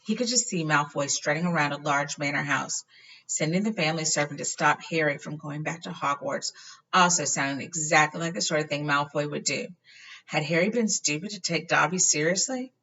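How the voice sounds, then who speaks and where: A woman reading a children's storybook aloud in an animated, expressive way, with slight stumbles. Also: low-pitched, a little fast. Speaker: reader of a children's book. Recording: dull lo-fi, far from the mic.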